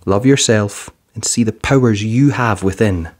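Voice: medium pitch, a little slow, background noise, natural voice. A storybook narrator voicing an earnest, kind five-year-old superhero girl, sincere and eager. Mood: earnest, comforting.

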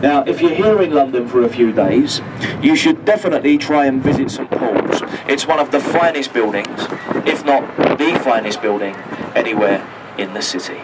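A man's voice: medium pitch, moderate pace. A man on a river boat crew giving chatty, jokey tour commentary over a handheld microphone. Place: open deck of a river boat, outdoors. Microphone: handheld microphone over a boat speaker, filmed from a distance. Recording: distant PA voice with boat noise.

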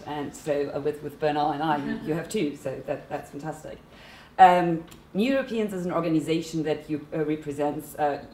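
A woman with a German accent speaks at a panel table, her voice notably low and her words earnest and emphatic. The room around her is echoey, with a faint background hum. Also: darkish tone. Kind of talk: panel discussion talk. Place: seminar room at a conference table.

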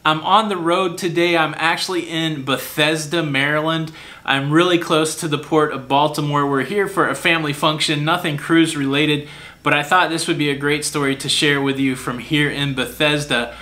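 A man's voice: fairly high pitch, fairly even intonation, normal speed, pushed and bright. An American man in his forties hosting a casual cruise news update to camera from a hotel room. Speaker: cruise news video host. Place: small furnished hotel room, indoors. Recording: clearly audible hum, room air-conditioner noise.

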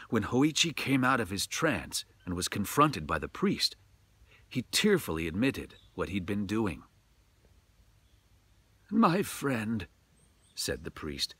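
A man's voice: on the low side, pushed and bright, husky, no room sound. A middle-aged man who works as a storyteller, talking and narrating in a dramatic way. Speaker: storyteller, voice actor. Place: outdoors in a forest.

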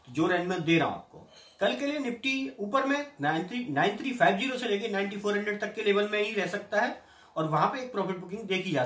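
A middle-aged Indian man hosting a daily stock market update, briskly reading out price levels and tips. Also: high voice. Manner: brisk, reads out price levels in a lively sing-song. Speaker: stock market analyst host. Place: small indoor room with patterned curtain. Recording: echoey room.

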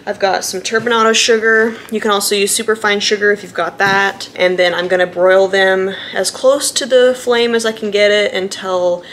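A young woman talks casually through a cooking demonstration. Her voice sits at an average pitch for a woman, and she explains each step in a chatty way. The recording carries some light noise and room ambience from a home kitchen. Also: steady tone, moderate pace, few pauses, a bit bright. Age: young adult. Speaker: home cook hosting a cooking vlog.

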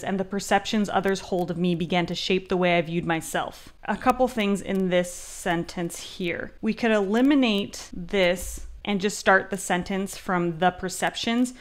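Woman, 30s, kinda low voice, doing a screen-share lesson, reads bits out then explains the edits. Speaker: writing coach and presenter. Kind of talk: instructional screencast commentary, reading text aloud and explaining edits.

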